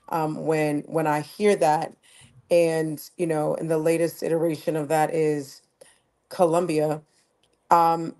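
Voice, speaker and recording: A woman's voice: sits low, darkish tone. American woman telling stories in casual talk. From United States. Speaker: host. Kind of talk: casual discussion.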